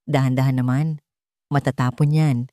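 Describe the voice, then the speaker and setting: Audio drama role: woman as cruel, haughty society woman, condescending and mock-sweet, mellow dry sound. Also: very deep voice, little pitch movement, moderate pace, no echo. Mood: scolding. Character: haughty, cruel rich woman taunting a servant.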